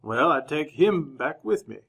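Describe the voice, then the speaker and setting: A man playing a composed, honorable gentleman newspaperman in a re-created old-time radio western, speaking formally and with measured politeness. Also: fairly high pitch, very animated, vocal fry, exaggerated voice. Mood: quiet, composed. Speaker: actor narrating as lead character.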